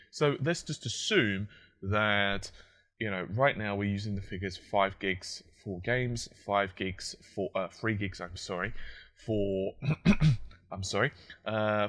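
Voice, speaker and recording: A man with a croaky, just-woken voice, explaining technology in a solo monologue, with fillers and self-corrections. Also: fairly low pitch, fairly bright tone. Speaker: tech commentator.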